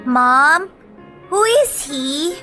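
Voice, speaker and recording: A woman's voice: high-pitched, drawn-out words, bright, forceful tone, soft background music, full character voice. A woman voicing a pleading, whiny little girl in a radio drama. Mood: sweet, brighter. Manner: whining, childlike.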